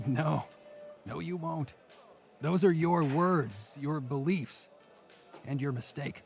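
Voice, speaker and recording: A man playing a world-weary, menacing prison inmate in an audio drama sketch, speaking in a deliberate, scornful, taunting way. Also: a little high, creaky, soft background music. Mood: grim, menacing. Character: mocking, world-weary male prison bunk mate, menacing.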